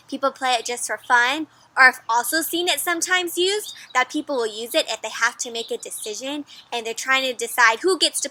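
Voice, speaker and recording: A woman giving an interactive storybook read-aloud for children, animated and encouraging, often asking her young listeners questions. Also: high-pitched.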